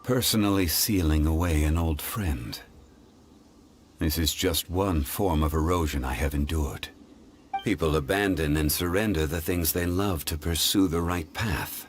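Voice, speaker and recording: A deep, solemn ancient god. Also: male voice, slight background noise. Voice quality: deep, calm, resonant. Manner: measured, solemn, dignified. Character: ancient, composed male god in human form. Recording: game audio with soft music.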